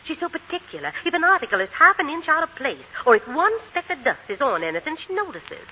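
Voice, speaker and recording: A woman's voice: high-pitched, sing-song, fast. An actress playing an anxious, doting middle-aged aunt, with a motherly manner, in a 1940s radio drama. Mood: worried. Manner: fretful, motherly. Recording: dull, noisy 1940 radio recording.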